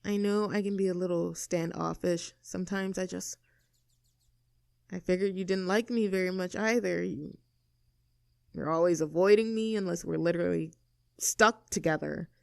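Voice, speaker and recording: A woman plays a young mean girl in an audio roleplay, blunt and sarcastic with a soft side underneath. Her mid-pitched voice has plenty of vocal fry and a casual, teasing delivery. The sound is dry and close, with no room echo. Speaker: voice actor. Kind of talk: scripted audio roleplay, naturalistic one-sided dialogue.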